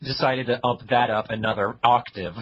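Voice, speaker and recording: A man's voice: mid-range voice, fairly even intonation, normal speed, a bit bright, breathy edge, dull audio. A young man co-hosting a casual podcast, reviewing web videos in a blunt, dry way. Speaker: podcast co-host.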